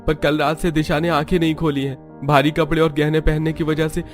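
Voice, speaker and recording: A man's voice: on the high side, level tone, brisk, voice pushed up, close to an everyday voice. A man voicing a worried adult son pleading with his mother in a cartoon story. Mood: anxious pleading. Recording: background music.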